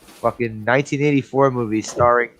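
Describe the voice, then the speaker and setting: A man hosting a casual watch party stream, easygoing and quick to laugh. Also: mid-range voice, steady tone, a bit bright, a little hiss or hum. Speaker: host. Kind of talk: casual chat.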